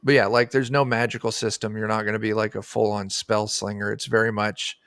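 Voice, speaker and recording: A man's voice: on the low side, little pitch movement, brisk, a bit bright, no room sound. Man chatting casually, dry close sound. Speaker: guest, game designer. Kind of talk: casual interview chat about a tabletop game. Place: indoor room on a video call.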